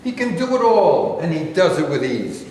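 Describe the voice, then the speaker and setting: A man reading the part of an earnest high school basketball coach in a staged play. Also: very animated, moderate pace, a little mellow, a little hiss or hum. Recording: echoey hall with long reverb.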